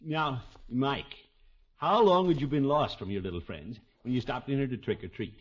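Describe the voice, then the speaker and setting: An actor playing a blustery, kindly middle-aged uncle with a chuckling, avuncular manner in a 1950s radio sitcom. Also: male voice, mid-range voice, normal speed, slightly rough, natural voice. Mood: patient, puzzled. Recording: noisy, dull 1950s radio recording.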